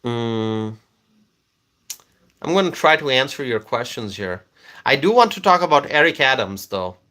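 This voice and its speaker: A man's voice: mid-range voice, expressive, a little slow, pushed and bright. A man whose first language is Bengali, casually chatting on a livestream and reading out viewer comments, with frequent fillers and repeated words.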